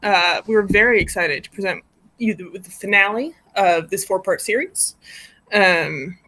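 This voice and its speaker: A woman's voice: medium pitch, a little slow, pushed and bright, low-quality recording. A woman hosts a live stream with an informal, chatty delivery. She is giggly and loose, as if introducing things casually over a video call.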